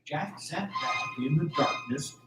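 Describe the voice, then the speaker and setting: A man's voice: fairly high pitch, takes it slowly. A man narrating a scripted audio drama in a theatrical, suspenseful storytelling style. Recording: roomy, distant, music underneath.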